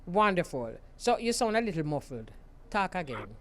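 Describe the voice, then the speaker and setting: A woman with a Jamaican accent hosting a radio talk show, emphatic and quick to laugh. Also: lowish voice, a little hiss or hum. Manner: lively, laughs often, emphatic. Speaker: radio host.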